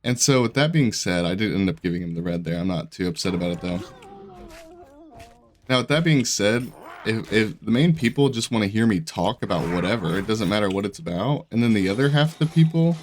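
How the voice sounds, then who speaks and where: A man's voice: on the low side, lively intonation, a little fast, slight background noise. A young man with a stuffy, congested voice and a sore throat, chatting casually as he plays and comments on a game, rambling and restarting his sentences. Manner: rambling, self-interrupting, laughs often. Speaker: gaming YouTuber. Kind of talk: casual commentary while playing.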